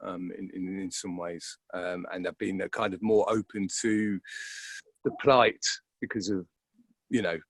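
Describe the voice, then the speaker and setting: A man, an actor being interviewed, talking reflectively and casually in a halting way, often repeating words as he thinks. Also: sits low, steady tone, no room sound.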